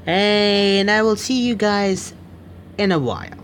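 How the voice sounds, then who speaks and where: A man hosting a gaming video, reading game text aloud and commenting on it in a jittery, excitable way. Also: sits high, takes it slowly, clear, resonant tone. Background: game music.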